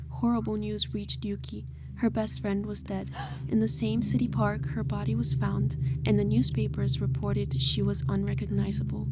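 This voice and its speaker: Even-toned woman narrating over music. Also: medium pitch. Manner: measured, storytelling. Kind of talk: scripted horror story narration. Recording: clear music behind the voice.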